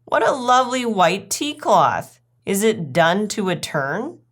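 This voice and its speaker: A woman's voice: on the low side, lively intonation, measured pace. A woman voicing an anxious, well-mannered lady dog guest with formal, fretful politeness in a storybook reading. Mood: mannered, polite.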